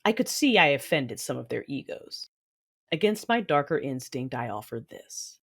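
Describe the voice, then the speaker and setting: A woman's voice: very deep voice, sing-song, normal speed, full character voice. A woman acts out a proud Sith warlord mother in a space-opera audio drama. She is commanding and intense, with a vengeful temper, and she tells her own story in the first person. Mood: brooding. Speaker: narrator and lead character.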